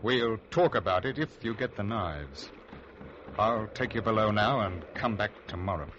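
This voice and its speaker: A man plays a stern sea captain with a low voice, wary and measured in his speech. It is classic radio drama acting. The recording is dull and muffled, with clear noise throughout. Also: lively intonation, lightly acted. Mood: grudging, guarded. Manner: suspicious, measured, halting. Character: wary, stern male ship's captain, grudgingly bargaining. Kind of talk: old-time radio drama acting and first-person narration.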